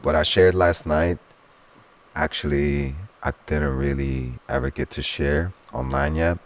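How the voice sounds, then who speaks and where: A Swiss-born man with Swiss German as his first tongue, speaking in an extremely low, gravelly voice. He talks earnestly in a relaxed interview and often reaches for spiritual language. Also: measured pace. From Switzerland. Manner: earnest, spiritual turns of phrase. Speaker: guest, soul singer and DJ. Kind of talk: casual conversational interview.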